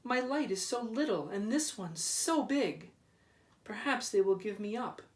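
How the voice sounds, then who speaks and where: Man voicing a raised-pitch, self-important little storybook lighthouse. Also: animated, measured pace. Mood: worried. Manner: emphatic, exclamatory short calls. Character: proud little lighthouse, childlike, self-important, easily worried. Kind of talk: children's storybook character. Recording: roomy echo, distant microphone.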